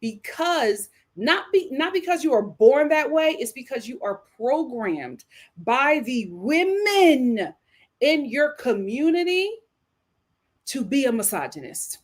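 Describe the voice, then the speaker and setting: A woman hosting a casual livestream, chatting and giving opinions in a theatrical, playful, emphatic manner with drawn-out words. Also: high-pitched, big pitch swings. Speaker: livestream host reacting to viewer messages. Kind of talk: casual live chat and opinion commentary.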